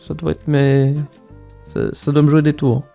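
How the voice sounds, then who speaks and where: A man's voice: fairly high pitch, level tone, vocal fry, warm tone, muffled recording, soft background music. A man putting on a frail old grandmother. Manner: slow, hesitant, forgetful. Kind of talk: tabletop role-play.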